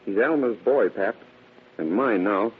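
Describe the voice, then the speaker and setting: A plain country husband in an old radio play, his voice rough and husky. The sound is thin and tinny. He talks in folksy, ungrammatical rural American speech. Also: fairly low pitch, a little slow. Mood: sheepish, coaxing. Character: sullen, plain-spoken country husband and son. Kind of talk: old-time radio drama acting.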